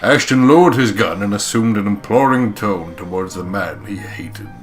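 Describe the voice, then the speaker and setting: A man's voice: on the low side, moderate pace, faint music behind. A man narrating a visual novel as a let's play co-host, with crude, sweary, mocking humour.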